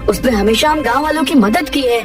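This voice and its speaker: A woman's voice: high voice, brisk, lightly acted. A woman voicing an earnest, brave young villager in a children's cartoon, dramatic, over music. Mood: determined. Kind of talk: children's cartoon story dub. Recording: music under the voice.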